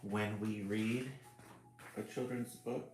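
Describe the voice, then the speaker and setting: A man's voice: on the low side, fairly even intonation, slow pace, a little mellow, echoey room, slight background noise, low-quality recording. A man hosting a casual read-aloud stream, chuckling and greeting viewers by name as he reads a children's book.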